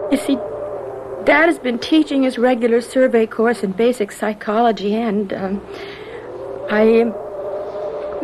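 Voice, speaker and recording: An actress playing an anguished, apologetic young woman, a professor's daughter, in a radio drama, speaking in a halting, pleading way. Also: on the high side. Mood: guilty, pleading. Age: young adult. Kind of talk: American radio drama acting. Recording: radio drama with clear background noise.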